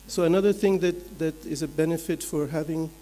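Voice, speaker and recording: A man from Belgium, giving a measured, explanatory slide presentation as a conference speaker, point by point. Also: a little high, steady tone, mellow. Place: large conference hall. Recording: echoey hall with long reverb.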